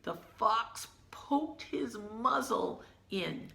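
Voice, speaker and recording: Slow, bright-voiced woman reading a picture book. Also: fairly high pitch, expressive, creaky, echoey room. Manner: gentle, drops to hushed asides about the pictures. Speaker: teacher reading aloud. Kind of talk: children's picture book read-aloud.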